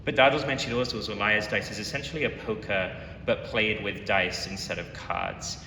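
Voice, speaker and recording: A young man from London talks earnestly and reflectively about his work, his voice slightly low. He is picked up from far off in a long-ringing hall, with a steady rumble of noise behind him. The sound is that of a museum gallery talk. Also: normal speed. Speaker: artist giving a gallery talk. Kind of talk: presentation talk. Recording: echoey hall, mic far off, noisy rumble.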